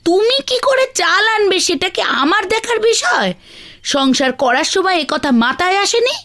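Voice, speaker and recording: A woman voicing a sharp-tongued, weary village wife in a cartoon, scolding and complaining theatrically. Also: extremely high pitch, lively intonation, moderate pace, heavily acted. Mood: bitter, exasperated. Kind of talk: Bengali children's cartoon dialogue.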